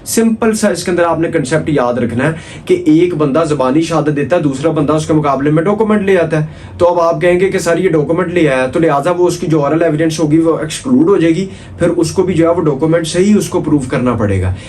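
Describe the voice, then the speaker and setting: A man's voice: a little high, fast talker, a little mellow, slight background noise. A man with a Pakistani accent giving an informal explanatory law lecture, mixing in English terms and repeating key points in a didactic way.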